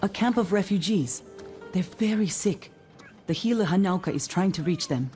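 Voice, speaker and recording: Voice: medium pitch, lively intonation, normal speed, dark tone, faint music behind, lightly acted. An actor playing an anxious, concerned villager in a video game cutscene. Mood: worried.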